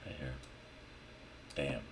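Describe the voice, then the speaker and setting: A man thinking aloud in a casual screen-recorded software tutorial, muttering in short, slangy fragments as he works. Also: slow. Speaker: 3D modeling tutorial host. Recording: clear background noise, keyboard and mouse clicks. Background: typing and mouse clicking.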